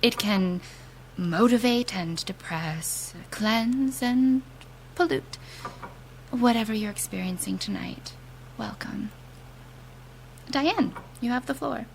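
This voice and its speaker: An actress playing a solemn, gentle bereavement group leader in a fiction podcast, speaking in a measured, formal manner. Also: female voice, on the high side, lively intonation, gravelly, a little hiss or hum, heavily acted, rough recording. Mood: solemn, welcoming. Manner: measured, formal, deliberate phrasing.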